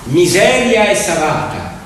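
A man telling a story theatrically, in a measured, dramatic way with pauses. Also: high-pitched, expressive, slight background noise. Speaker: stage storyteller and actor. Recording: echoey hall from far off, loud music. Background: piano and plucked string music.

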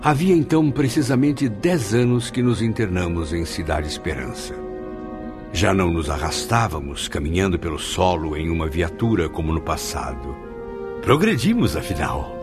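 A man's voice: sits low, sing-song, moderate pace. A man narrating a radio drama in a solemn, dramatic way. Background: music.

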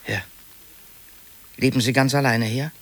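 A man's voice: mid-range voice, steady tone, unhurried, a bit bright. A man playing a wary, inquisitive office worker in a naturalistic radio drama, politely probing and a little hesitant.

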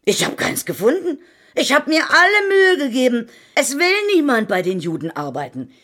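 An actress playing a spiteful, gossiping older woman in a German radio drama, giving insistent and halting testimony in a dramatic way. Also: high voice, sing-song, fairly bright tone. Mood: dramatic, horrified. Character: older female servant broker, spiteful, gossiping accuser.

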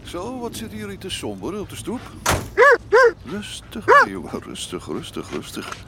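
A man's voice: high voice, huge pitch swings, normal speed, noisy background, full character voice. An actor playing a firm but kindly middle-aged father in a Dutch radio play, reasoning with his children. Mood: kindly, admiring. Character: firm but kindly father, former police-dog owner.

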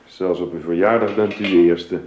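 An actor playing a stiff, hurried middle-aged gentleman and father, formal and slightly hesitant, in a Dutch radio play. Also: low-pitched, steady tone, lightly acted. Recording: muffled, roomy old radio recording, faint noise.

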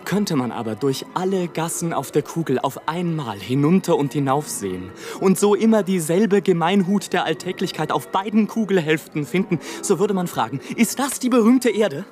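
A man's voice: fairly high pitch, a little mellow. An actor playing a bitter, world-weary male balloonist in a German radio play, declaiming in an eloquent, theatrical and ironic way.

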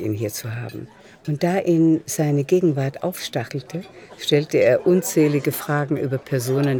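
Mellow, creaky-voiced woman narrator. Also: extremely low pitch. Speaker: narrator of a radio play. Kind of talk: scripted literary narration. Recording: faint music under narration. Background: faint music.

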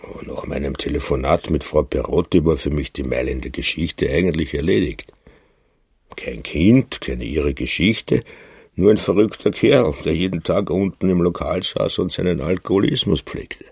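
An actor playing a wary, grumbling old innkeeper in a radio drama, unhurried and dry in delivery. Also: male voice, extremely low pitch, creaky, darkish tone, slightly rough, dry recording, exaggerated voice. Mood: brooding. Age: older. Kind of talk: radio drama, first-person narration and dialogue.

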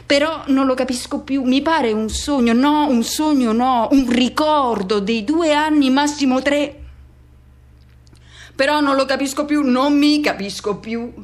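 Restless loner, high-voiced radio monologue. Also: female voice. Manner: colloquial self-questioning inner monologue, broken short phrases. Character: restless solitary middle-aged man revisiting his hometown.

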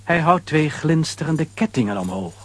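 A man with a husky voice narrating a children's audio adventure as a storyteller. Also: on the high side, very animated, normal speed.

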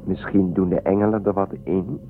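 An actor playing a gentle young man in a science fiction radio drama, earnest and coaxing. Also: deep voice, level tone, moderate pace, close to an everyday voice. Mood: teasing. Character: curious, pleading young man raised in space, gentle. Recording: thin phone-like radio recording, clear noise.

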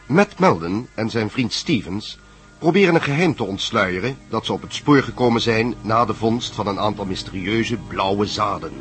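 A man's voice: on the low side, lively intonation, normal speed, a bit bright. A man reading scripted narration as the recap of a radio drama serial. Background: music.

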